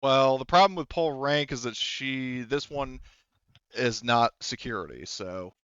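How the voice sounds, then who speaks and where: A man's voice: medium pitch, a little slow, fairly bright tone, clean tone, no room sound, highs cut off. A man improvising casual narration as a tabletop game master, explaining things in a hesitant way.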